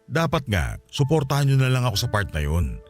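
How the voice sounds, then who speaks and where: A man's voice: mid-range voice, big pitch swings, fairly quick, faint music behind, lightly acted. A man acting as a defensive, pleading married cheater in a Filipino radio drama.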